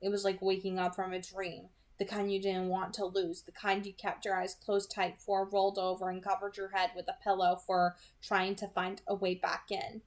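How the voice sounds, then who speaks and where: A woman's voice: mid-range voice, monotone, moderate pace, hardly any pauses. A woman narrating a novel aloud as an amateur audiobook reader.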